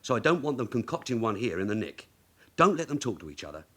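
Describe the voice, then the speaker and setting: Husky, shrewd veteran police sergeant. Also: male voice, mid-range voice, big pitch swings, quick pace, fairly bright tone. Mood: firm, businesslike. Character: shrewd veteran London police detective sergeant, calm and commanding. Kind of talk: radio drama acting.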